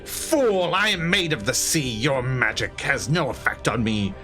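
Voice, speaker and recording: A man's voice: medium pitch, lively intonation, a little slow, sharp tone, soft background music. A man voicing an imperious female sea witch, a cultist who declaims grandly in a tabletop game. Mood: scornful.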